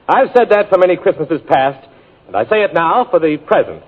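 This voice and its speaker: A man announcing a 1940s radio show in a smooth, emphatic way. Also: fairly high pitch, sing-song, brisk. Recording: tinny radio broadcast with orchestra.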